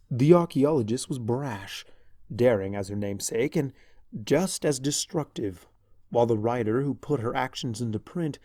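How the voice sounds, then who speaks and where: A man narrating a story in the first person as a lovestruck villain's henchman, with dramatic, deliberate delivery. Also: medium pitch, mellow, dry recording, clean studio sound, natural voice. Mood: admiring, dramatic. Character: lovestruck villain's pony henchman recounting his past.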